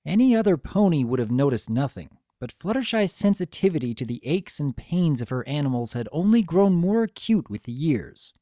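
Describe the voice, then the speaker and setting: Expressive narrator in a close, dry recording. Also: medium pitch, moderate pace, few pauses, no room sound. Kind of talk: dramatic storybook narration.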